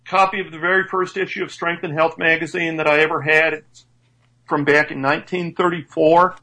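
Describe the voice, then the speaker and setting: A man's voice: on the high side, little pitch movement, a little fast, pushed and bright, poor audio. A man in his fifties, a strength coach, chatting enthusiastically on a radio show and stumbling into restarts now and then. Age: 50s. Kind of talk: casual radio show chat.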